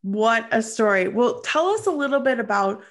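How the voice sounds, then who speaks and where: A woman's voice: a little high, expressive, moderate pace. A woman hosting a podcast interview, upbeat and enthusiastic as she introduces her guest. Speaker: podcast host.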